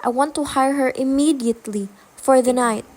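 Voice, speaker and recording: A woman's voice: high voice, normal speed, a little mellow. A woman acting in a radio play as a nervous, imperious, self-pitying invalid wife with an agitated, demanding manner. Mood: anxious, insistent.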